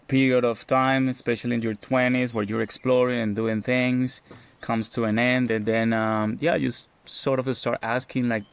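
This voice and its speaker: Gravelly, flat-voiced Colombian man. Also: fairly low pitch. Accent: Colombian Spanish. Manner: reflective, many fillers and restarts. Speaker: guest, permaculture farmer. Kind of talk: casual podcast interview.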